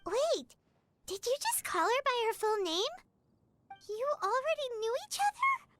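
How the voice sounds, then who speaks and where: A woman voicing a tiny, excitable fairy companion with a squeaky childlike voice in a video game. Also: measured pace, faint music behind, exaggerated voice. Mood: concerned, surprised. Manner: speaks of self in third person.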